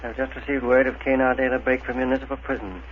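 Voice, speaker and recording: An actor playing an earnest, dignified scientist-inventor with brisk, precise, formal diction, in the style of a 1930s radio adventure serial. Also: male voice, mid-range voice, flat delivery, natural voice. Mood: brisk, matter-of-fact. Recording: noisy, narrow-band 1930s radio recording.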